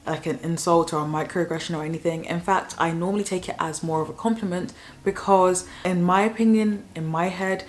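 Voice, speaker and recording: A young woman with a Southern English accent talks straight to camera in an opinion vlog. Her voice sits low for a woman, and she gives her views frankly and plainly, in an easy, conversational way. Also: fairly even intonation, fairly quick, hardly any pauses. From south of England. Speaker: YouTuber.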